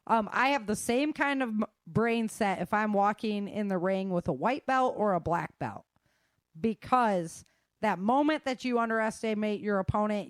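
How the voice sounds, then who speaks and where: A middle-aged woman gives practical advice in a frank, informal way. She speaks conversationally and puts weight on her points. Her voice sits at a middle pitch, recorded dry with no room sound. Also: dry recording. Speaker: self-defense instructor and guest.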